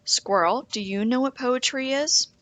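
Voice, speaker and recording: A woman's voice: high-pitched, measured pace, fairly bright tone, voice pushed up. A woman voicing a curious, polite young boy in a children's picture book, asking eagerly. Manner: questioning, eager.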